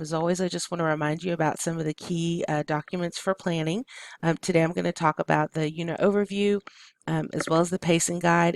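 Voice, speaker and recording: An adult curriculum presenter who speaks to teachers with a froggy, allergy-roughened throat. The delivery is hesitant and full of ums and uhs. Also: mid-range voice, no echo. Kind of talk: recorded curriculum walkthrough.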